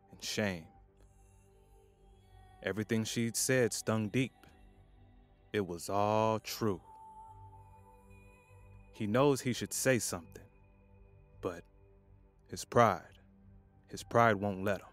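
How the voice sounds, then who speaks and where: A man narrating a scripted drama in a measured way with weighty pauses. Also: lowish voice. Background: faint music.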